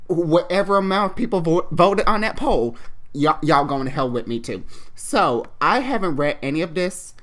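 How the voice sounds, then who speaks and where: A man's voice: fairly high pitch, a bit bright. A man hosting online in a slangy, irreverent, giggly way, reading fan fiction aloud with casual off-the-cuff commentary. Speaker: online video host and fan fiction reader.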